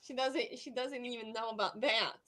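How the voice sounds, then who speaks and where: A woman chatting casually in a halting way, often repeating her words and phrases. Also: on the high side, fairly even intonation, sharp tone. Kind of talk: casual chat.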